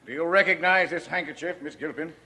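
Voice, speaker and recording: An actor playing a hard-nosed, relentless district attorney with an accusatory manner in 1940s radio style. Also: male voice, a little high, brisk, slightly rough, exaggerated voice. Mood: probing. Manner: accusatory, forceful.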